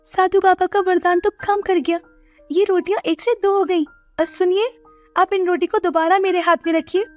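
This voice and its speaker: A woman voicing a kind, earnest young village woman in an animated moral story. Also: extremely high pitch, moderate pace, soft background music, voice pushed up.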